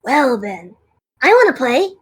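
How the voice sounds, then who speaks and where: A woman's voice: high voice, big pitch swings, a little slow, slightly rough, full character voice. A woman voicing a childish 200-year-old young dragon with a baby voice, cheerful about eating her guests, in a fan audio drama. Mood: playful.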